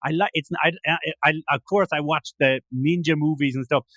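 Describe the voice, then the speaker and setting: A man's voice: on the high side, fairly even intonation, brisk, a bit bright, no room sound, studio quality. A man with an Irish accent hosting a casual interview, rambling with many restarts and fillers. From Ireland. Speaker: podcast host.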